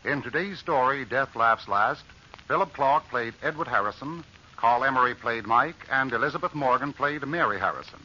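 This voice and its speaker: A man's voice: mid-range voice, lively intonation, normal speed, bright, forceful tone. A man announcing a 1940s radio show in a formal, declamatory scripted style, on a muffled old recording.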